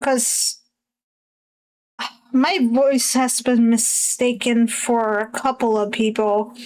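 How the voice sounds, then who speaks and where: A young woman with a husky voice, chatting casually with her stream audience while she draws, halting often with fillers and restarts. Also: fairly high pitch, fairly even intonation, a little mellow, poor audio.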